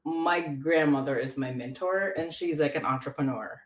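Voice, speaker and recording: Low-voiced chatty woman, roomy sound. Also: moderate pace. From Philippines. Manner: chatty, lots of "like" and trailing restarts. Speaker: podcast guest. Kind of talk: casual interview chat. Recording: roomy echo.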